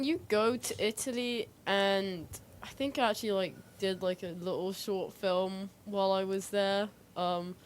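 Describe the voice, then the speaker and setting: A woman's voice: mid-range voice, darkish tone. Hesitant young-sounding female co-host with faint noise. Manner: hesitant, frequent "like" hedging. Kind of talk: casual chat.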